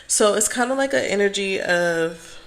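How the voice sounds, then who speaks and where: A hoarse woman speaking calmly and softly as she gives a casual tarot reading. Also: medium pitch, moderate pace. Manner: calm, soft-spoken, chatty. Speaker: tarot reader.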